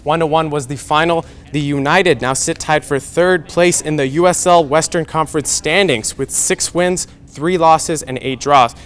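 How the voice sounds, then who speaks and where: A man's voice: a little high, normal speed, few pauses, a bit bright. A young man anchoring a scripted TV sports newscast. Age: young adult.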